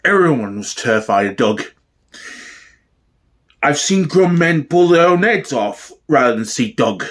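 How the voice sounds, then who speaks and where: A man playing a frightened witness in a mock-documentary sketch, halting and full of dread as he recalls gangsters. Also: mid-range voice, lively intonation, a bit bright. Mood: fearful. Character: fearful male crime witness recalling gangster brothers.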